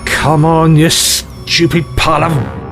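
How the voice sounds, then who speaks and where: A man's voice: fairly high pitch, monotone, slow, creaky, over music. A man playing a glib, eccentric alien time traveller in an audio drama, flippant, theatrical and condescending. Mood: brisk, cheeky.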